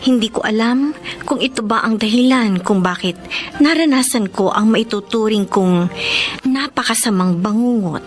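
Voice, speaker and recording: An actress as a loving, much-wronged Filipino housewife in a radio drama. Her voice is high and earnest, pleading, with some music underneath. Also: moderate pace, lightly acted. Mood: sorrowful, reflective. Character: long-suffering, loving, devoted young housewife.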